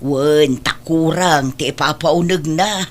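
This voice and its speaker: A woman's voice: low voice, slow. A woman playing a concerned, meddling middle-aged aunt in an Ilocano audio drama, fretful and chiding, with an Ilocano accent.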